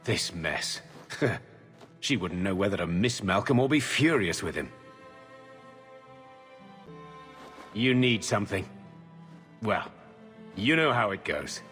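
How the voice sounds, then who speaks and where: An actor playing a coarse but sentimental older uncle in a video game, talking plainly, with a long reverb on the voice. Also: male voice, on the low side, expressive, unhurried, long pauses, fairly bright tone. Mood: regretful. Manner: coarse, plain-spoken reminiscing. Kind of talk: video game drama acting. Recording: long reverberant tail, faint music behind.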